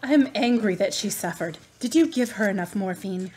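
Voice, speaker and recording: A woman acting a grieving, stoic adult daughter in a radio drama, restrained and husky. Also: medium pitch, lively intonation, normal speed, a little mellow, a little hiss or hum, close to an everyday voice, rough recording. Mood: guarded.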